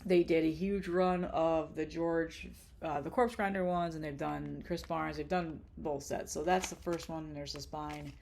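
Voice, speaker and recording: A woman's voice: low-pitched, fairly even intonation, unhurried, vocal fry, a little mellow, slight background noise. A woman, nasal and congested from a cold. She chats casually on camera, rambling and profane, dropping frequent ums as she shows off her collection. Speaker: vlogger showing her cassette collection. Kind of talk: casual chatty collection vlog.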